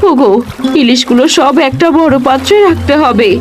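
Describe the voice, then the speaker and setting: A woman playing a caring village wife and mother in a cartoon, expressive and easily amazed. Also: sits high, normal speed, lightly acted. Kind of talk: cartoon story dubbing. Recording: loud music behind the voice. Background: music.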